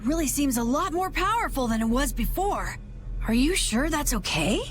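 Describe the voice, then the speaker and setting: A woman voicing an earnest, excitable young boy adventurer in a video game, childlike and eager. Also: high voice, normal speed, soft background music. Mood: curious. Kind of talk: animated video game dub acting.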